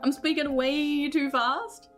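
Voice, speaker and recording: A woman's voice: high voice, steady tone, takes it slowly, faint music behind. A woman with an Australian accent chatting casually with her viewers while streaming a game, laughing often.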